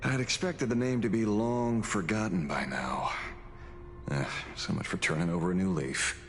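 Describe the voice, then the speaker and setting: A man voicing a sly retired ranger turned detective in a video game, wry and theatrical with unhurried confidence. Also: deep voice, fairly even intonation. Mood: wry, casual. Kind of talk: dramatic video game voice acting.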